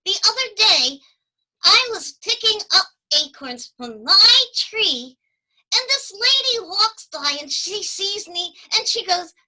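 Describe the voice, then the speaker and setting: A piercingly high chipmunk voice, bright and tense, bursting out in stammers and restarts. Its pitch jumps all over the place, and it sounds like a jittery puppet critter on a kids' show. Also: sing-song, slow, full character voice, low-quality recording. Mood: flustered. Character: excitable flustered chipmunk puppet. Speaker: puppet character on a children's show.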